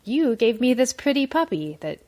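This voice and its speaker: A woman's voice: on the high side, sing-song, normal speed, a little mellow. A woman cast member of a radio show in friendly scripted chat.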